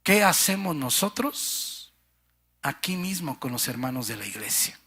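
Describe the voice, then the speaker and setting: A man preaching a sermon with passion, calling his listeners brother and sister and swinging between fervent cries and hushed low phrases. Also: very animated, measured pace, husky.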